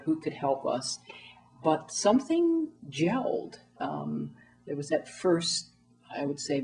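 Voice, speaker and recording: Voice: mid-range voice, warm tone, low-quality recording. A community organizer talking in an earnest way with fillers in an interview. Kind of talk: casual interview talk.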